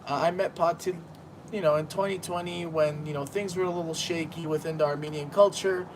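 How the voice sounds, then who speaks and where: A man from Los Angeles, a guest on a livestream, talking in a relaxed, joking way. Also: on the high side, a little fast. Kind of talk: casual livestream chat. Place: apartment balcony by a busy street. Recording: outdoor street noise. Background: street traffic.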